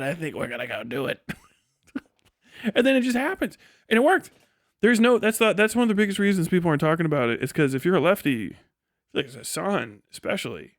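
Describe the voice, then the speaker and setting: A man giving casual, rambling live commentary as a stream host, slangy and animated, acting out imagined exchanges. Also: on the high side, no room sound, clean studio sound. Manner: often chuckles mid-sentence. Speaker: livestream host and political commentator.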